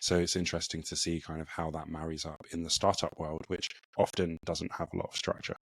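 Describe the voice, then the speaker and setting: A podcast host asking relaxed questions and murmuring agreement. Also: extremely low pitch, quick pace, vocal fry, a little hiss or hum. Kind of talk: casual interview questions.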